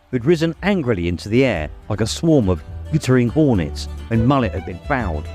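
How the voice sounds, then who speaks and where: A man's voice: mid-range voice, a little mellow. A man narrating a story in a dramatic, scripted audiobook style.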